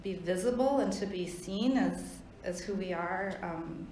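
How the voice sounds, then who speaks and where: A woman on a discussion panel, speaking thoughtfully and earnestly with frequent pauses and fillers; her first language was Thai. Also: fairly low pitch, a little mellow, roomy sound, a little hiss or hum, rough recording. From United States. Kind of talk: reflective panel talk.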